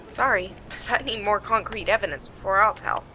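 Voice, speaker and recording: A woman playing a sarcastic, bossy detective in an amateur radio play, with a wry, brisk delivery. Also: mid-range voice, pushed and bright, lightly acted. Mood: exasperated, probing. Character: sharp, sarcastic female detective leading a murder case. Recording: noisy amateur home recording.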